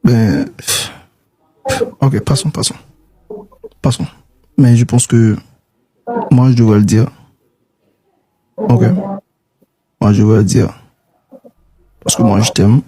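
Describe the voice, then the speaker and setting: A man's voice: fairly low pitch, takes it slowly, long pauses, mellow, poor audio. A man in an intimate casual conversation, speaking in an affectionate, coaxing way.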